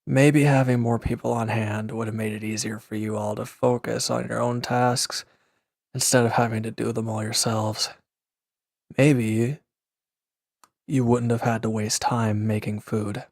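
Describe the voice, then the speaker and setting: A man's voice: mid-range voice, flat delivery, unhurried, vocal fry, a little mellow, dry recording, studio quality. A man playing a wounded former villain in an audio roleplay, sullen, defensive and restless, talking in a curt, grudging, sarcastic way. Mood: matter-of-fact, critical. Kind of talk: intimate audio roleplay acting.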